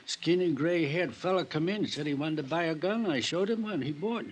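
Through the light noise of an old radio recording comes a man acting a mild little pawnbroker. His voice sits a bit high for a man. He talks in short, colloquial bursts and rhetorical questions, friendly but quick to defend himself. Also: close to an everyday voice. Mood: helpful, matter-of-fact.

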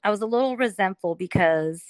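A woman's voice: mid-range voice, fairly quick, dry recording. A middle-aged woman from Alabama, telling her story as a guest in a casual interview, chatty with many fillers.

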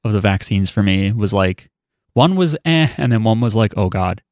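A man's voice: fairly low pitch, animated, normal speed, a little mellow, dry recording. Wry podcast host man, dry mic. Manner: wry, offhand asides. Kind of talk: casual chat, reading listener email.

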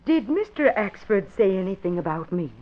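A woman's voice: mid-range voice, very animated, normal speed, natural voice. A woman playing an affected, evasive young aspiring actress in an old-time radio drama, with theatrical delivery. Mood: affected concern. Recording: dull vintage radio recording.

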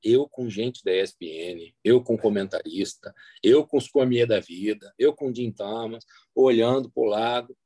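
A man's voice: fairly low pitch, moderate pace, hardly any pauses, dark tone, husky, no echo. A Brazilian man speaking as a guest interpreter in a casual, anecdotal chat, using slangy, colloquial speech.

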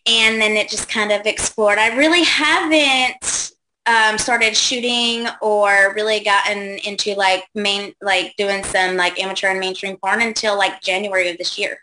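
A woman's voice: medium pitch, fairly even intonation, measured pace, pushed and bright, a little hiss or hum, poor audio. A woman in her thirties, a guest on a casual call-in show, chatting loosely with lots of ums and likes. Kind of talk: casual interview answers.

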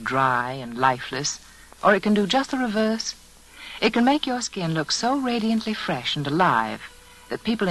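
Animated, persuasive 1940s radio spokeswoman. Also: low-pitched, low-quality recording. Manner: polished, persuasive, carefully phrased. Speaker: commercial spokeswoman. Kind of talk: scripted 1940s radio advertisement.

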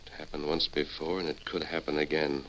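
An actor playing a commanding, noble cowboy hero with an American accent and formal, authoritative diction, in old-time radio western style. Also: male voice, a bass voice, little pitch movement, brisk, fairly bright tone, low-quality recording. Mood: calm, resolute.

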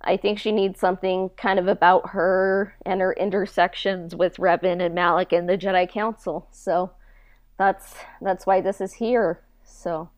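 A woman's voice: fairly low pitch, little pitch movement, unhurried, no room sound. A woman podcaster being interviewed, chatting casually and reflectively in a modest, hesitant way. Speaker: podcast co-host being interviewed about own project.

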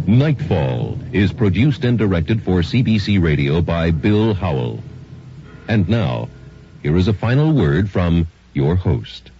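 A man's voice: low voice, big pitch swings, slow pace, dark tone, a little hiss or hum. A man reading scripted closing credits as a radio announcer. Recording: muffled old radio broadcast over music.

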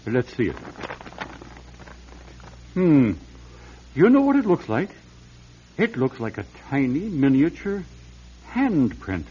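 An actor playing a genial, tactful aging bishop in a radio drama, with a husky avuncular voice on a muffled recording. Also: male voice, mid-range voice, slow, pauses often, slight background noise. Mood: curious. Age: older. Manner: avuncular, hesitant, animated.

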